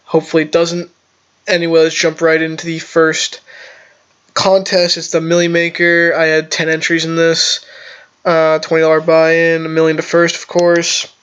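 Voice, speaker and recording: A man's voice: on the high side, fairly bright tone, clean tone. A young man who is a little sick, talking through a casual fantasy football lineup review with analytical, rambling explanations. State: sick, slightly off voice. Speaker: fantasy football content creator. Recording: slightly dull lo-fi audio.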